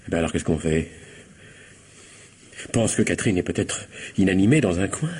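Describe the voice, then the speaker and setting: An actor playing a flustered, guilty young son and husband in a French radio comedy-mystery, stammering and protesting nervously. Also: male voice, sits low, normal speed, a little mellow, slightly rough. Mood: worried.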